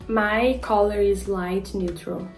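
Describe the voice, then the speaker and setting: A woman's voice: mid-range voice, fairly even intonation, takes it slowly, faint music behind. A woman in her twenties with a Brazilian accent, a model casually talking through a skincare routine, with frequent "like" fillers and stumbles over product names. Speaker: beauty vlogger and model.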